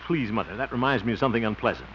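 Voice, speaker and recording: A man's voice: medium pitch, rapid-fire, lightly acted. An actor playing a jealous, bumbling grown man who mutters to himself in a 1950s radio sitcom. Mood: sheepish. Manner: blustery, flustered muttering to himself. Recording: dull old radio recording with loud hiss.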